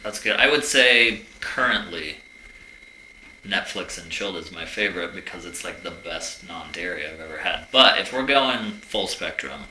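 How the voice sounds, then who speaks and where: A man's voice: low-pitched, moderate pace, echoey room, slight background noise, rough recording. A man co-hosting a podcast, chatting casually in a laid-back way with a lot of "like". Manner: relaxed, laid-back, says "like" often. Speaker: podcast co-host. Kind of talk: casual chat.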